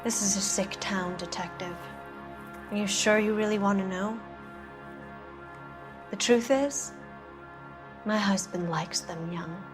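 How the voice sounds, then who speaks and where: An actress playing a jaded, evasive Hollywood producer's wife, cool, knowing and challenging, in a 1940s noir game. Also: female voice, medium pitch, slow, long pauses, soft background music, close to an everyday voice. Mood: bitter.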